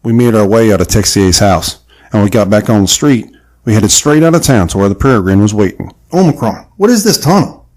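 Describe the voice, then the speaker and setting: An actor playing an earnest, curious adventurer who also narrates, in a husky voice, for an audio adventure drama. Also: male voice, fairly low pitch, lively intonation, a little fast, darkish tone, natural voice, rough recording. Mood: storytelling.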